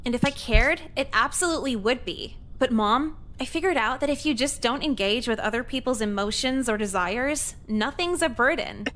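A woman's voice: a little high, lively intonation, moderate pace, hardly any pauses, a bit bright. A woman voicing a cynical, foul-mouthed sixteen-year-old girl in a visual novel game, blunt and snarky. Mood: smug, cynical.

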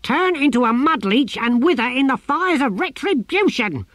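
A man's voice: sits high, takes it slowly, a bit bright, no echo, pitched above the performer's own voice. A man voicing an imperious old tortoise who claims to be a god and barks commands and curses. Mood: imperious, furious.

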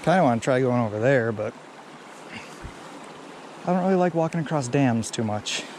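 A man's voice: mid-range voice, normal speed, rough recording. A young man narrating casually to viewers while fishing outdoors, recorded on a head-mounted camera. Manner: relaxed, talks to viewers as he fishes. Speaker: fishing vlogger. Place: outdoors by a creek and pond. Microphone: head-mounted action camera. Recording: clear water and outdoor noise behind. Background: running stream water.

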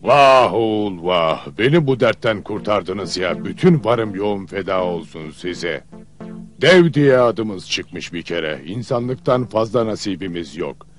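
A man playing a sly man-eating giant father who feigns friendliness in an old cartoon, with theatrical, drawn-out folksy delivery. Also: lowish voice, expressive, soft background music. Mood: generous, coaxing. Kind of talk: old cartoon fairy-tale dubbing.

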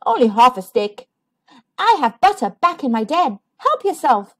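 A woman playing a mild, lively storybook bear. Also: sits high, normal speed, exaggerated voice. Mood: grateful. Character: friendly bookish male bear, mild and grateful.

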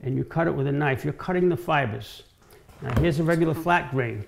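Gravelly-voiced older man explaining. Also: on the high side, brisk, noisy background. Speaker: guest woodworker explaining cutting boards. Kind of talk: informal explanatory demonstration. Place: home-style kitchen, indoors.

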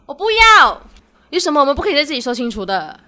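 A woman's voice: high-pitched, very animated, quick pace, sharp tone, exaggerated voice. A young woman acting in a radio drama as a sweet, devoted girlfriend who is easily wounded. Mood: upset, pleading. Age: young adult.

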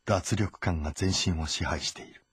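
Low-voiced male narrator, terse and measured. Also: a bit bright, slightly rough, dry recording. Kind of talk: dramatic scripted narration of a historical novel. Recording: voice over background music.

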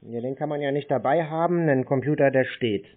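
A man's voice: medium pitch, fairly quick. A man talking casually and bluntly on the phone, contradicting and dismissing the other side. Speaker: scam-baiting call recipient. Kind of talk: casual confrontational phone talk. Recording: tinny, band-limited phone line.

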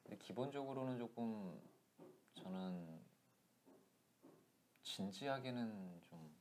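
A man's voice: on the low side, expressive. Guy in his 30s chatting in an interview, lapel mic, bit of background hiss, laughs a lot. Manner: soft-spoken, laughs often, thoughtful pauses. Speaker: actor guest. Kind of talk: casual interview chat. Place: small indoor studio room with piano.

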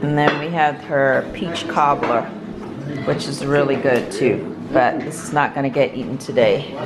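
A woman's voice: extremely low pitch, measured pace, heavy background noise. A middle-aged woman hosting a casual home vlog, playfully stretching and repeating words for emphasis. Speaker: family vlogger, host. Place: home dining room and kitchen. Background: music.

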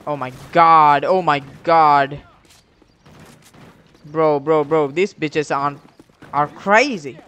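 A young man giving casual gameplay commentary in an excitable, slangy, profane way, speaking into a headset. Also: a little high, takes it slowly, pauses often, dull audio. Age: young adult. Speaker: gamer, YouTube host. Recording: clear game gunfire noise behind voice. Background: shooter game gunfire and clicks.

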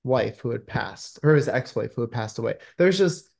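A man in his thirties speaks with a noticeably gravelly, creaky edge at an average male pitch. He explains things earnestly and conversationally, as an interviewed writer on a video call. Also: expressive, normal speed, a little mellow. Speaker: screenwriter being interviewed. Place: indoor room at home, video call. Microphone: video call microphone.

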